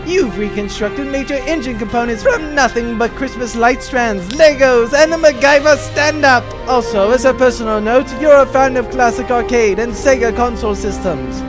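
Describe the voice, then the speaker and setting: A man playing a bombastic, sarcastic villain baron in a comic sci-fi audio drama, theatrical and mock-sweet as he gloats over captives. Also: extremely high pitch.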